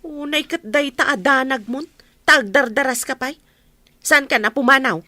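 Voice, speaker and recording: Woman acting sharp, high-pitched nagging Ilocano aunt. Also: moderate pace, no room sound. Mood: scolding. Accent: Ilocano Filipino. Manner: scolding, demanding. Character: bossy, nagging, sickly aunt, self-pitying and harsh. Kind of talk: Ilocano radio drama acting.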